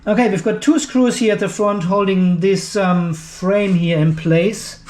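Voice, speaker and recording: Guy w high voice, reviewing gear hands-on, thinks out loud, echoey room. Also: steady tone, a little slow. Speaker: video host and product reviewer. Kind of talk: unscripted hands-on product review commentary.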